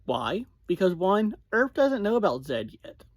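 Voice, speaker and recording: A reviewer hosting a casual recap, talking in short, halting phrases. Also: medium pitch, big pitch swings, no room sound, studio quality. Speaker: podcast reviewer and host.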